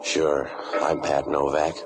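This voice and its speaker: A man playing a cynical, tough waterfront man for hire in 1940s radio style, narrating and talking in a deadpan, wisecracking, world-weary way. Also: a bass voice, slow, loud music behind the voice. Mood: deadpan, wry. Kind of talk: 1940s hard-boiled radio detective acting, first-person narration.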